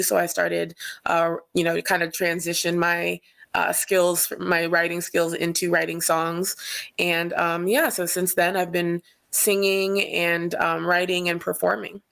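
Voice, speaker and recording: A woman's voice: fairly low pitch, normal speed, vocal fry. A woman from Cameroon, a singer-songwriter, speaking casually and hesitantly as a panel guest on a video call. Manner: relaxed, hesitant with many ums.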